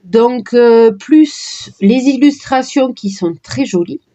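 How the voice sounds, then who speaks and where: A woman casually presenting her oracle card decks, talking in a hesitant way with many pauses and fillers. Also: a little high, dark tone, lo-fi sound. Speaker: card reader vlogger.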